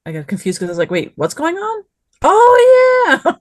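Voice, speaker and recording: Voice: extremely high pitch, wildly swooping pitch, normal speed, no echo, studio quality. A podcast panelist chatting casually and animatedly, often acting out quotes. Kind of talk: casual review chat.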